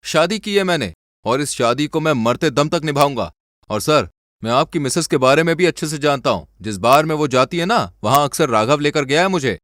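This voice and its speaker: A man voicing an earnest, protective young husband with a firm, sincere manner in an animated moral story. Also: on the high side, fairly quick, no room sound, clean studio sound. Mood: firm, angry.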